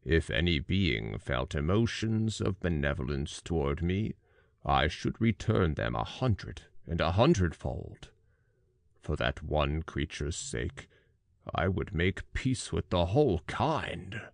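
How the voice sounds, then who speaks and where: Very deep, slow, gravelly monster. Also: male voice, big pitch swings, no room sound, clean studio sound, full character voice. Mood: reasoning, sorrowful. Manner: slow, weighty, dramatic delivery. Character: monstrous male fiend, miserable, vengeful, pleading. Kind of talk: audiobook character acting.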